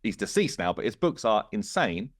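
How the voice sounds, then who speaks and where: A man's voice: lowish voice, big pitch swings, normal speed, no echo. Earnest man chatting casually, dry close sound. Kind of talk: casual podcast conversation.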